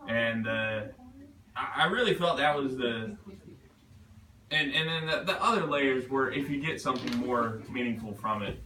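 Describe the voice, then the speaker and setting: Guy author chatting at a reading, stumbles over words, self deprecating, bright voice, echoey and far from mic. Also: medium pitch. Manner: halting, self-deprecating, swears casually. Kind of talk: casual question-and-answer chat. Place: bookstore event space. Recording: roomy, echoey, picked up from afar.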